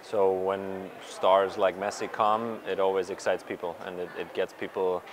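Low, flat-voiced young man in a postgame scrum. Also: moderate pace, crowd noise, low-quality recording. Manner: hesitant, many fillers and restarts. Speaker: pro soccer player. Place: stadium media area in front of sponsor backdrop. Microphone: reporters' handheld mics and recorders held close.

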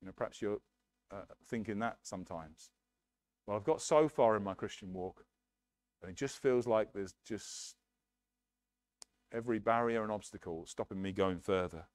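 A man's voice: lowish voice, moderate pace, pauses often, a little mellow. A middle-aged man with an English accent preaching a sermon earnestly at a lectern in a church hall, on a headset mic. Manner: earnest, emphatic preaching with hand gestures at a lectern. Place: church hall, medium size, indoors.

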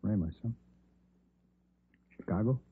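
An actor playing a cocky, slangy male gunman in jail in a 1950s radio crime drama, with a husky voice. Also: on the low side, measured pace, natural voice. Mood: cocky, needling. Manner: slangy tough-guy talk. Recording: dull old radio recording.

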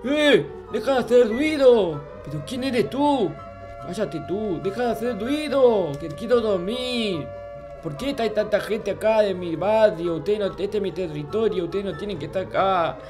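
A man's voice: sits high, animated, normal speed, music in the background. A man voicing a childish, clueless, grumpy little game character, stammering and repeating himself in a Minecraft roleplay. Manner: babyish, stammering, repetitive, easily flustered. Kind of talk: improvised Minecraft roleplay narration.

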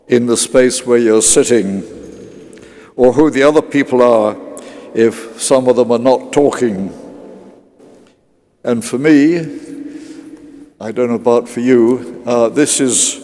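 Slow-speaking male preacher in a reverberant cathedral. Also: medium pitch, warm tone. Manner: measured, with deliberate pauses between short phrases. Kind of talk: sermon in a church service. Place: large cathedral interior.

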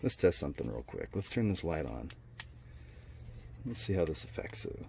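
A man with a low voice talks through a product unboxing in a casual way. His manner is laid-back and colloquial, like an informal reviewer at home. A faint layer of room noise sits behind him. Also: big pitch swings, normal speed, vocal fry. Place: indoors at a wooden workbench.

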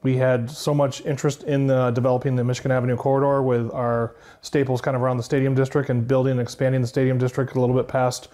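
Man in his thirties with a flat, even voice. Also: mid-range voice, quick pace, hardly any pauses. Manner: relaxed explaining, frequent ums and you-knows. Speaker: facilities director interviewed. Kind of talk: news interview. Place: indoors in a building under renovation. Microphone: lapel.

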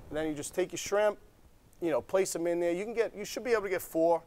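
A man's voice: high voice, fast talker, a little mellow. A man in his forties, a restaurant chef, walking through a recipe step by step in a TV studio kitchen, easygoing and checking in with "okay?". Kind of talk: cooking demonstration.

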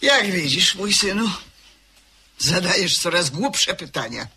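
A woman's voice: lowish voice, animated, slow, slight background noise, exaggerated voice. A woman playing a haughty, condescending lady of the manor in a radio drama. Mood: scornful. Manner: sharp, condescending.

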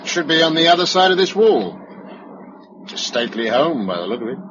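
A blunt, lively survivor. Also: male voice, a little high, a little fast, muffled recording, noisy background. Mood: chatty, wry. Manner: blunt, chatty, colloquial. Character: blunt, cheeky, streetwise English man, a survivor. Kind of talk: radio drama acting.

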